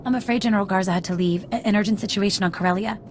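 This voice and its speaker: A woman's voice: mid-range voice, very fast, a little hiss or hum, natural voice. A woman playing an eager, nervous young military assistant, deferential, in a video game. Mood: eager, flustered. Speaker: lieutenant, general's assistant. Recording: music behind the voice.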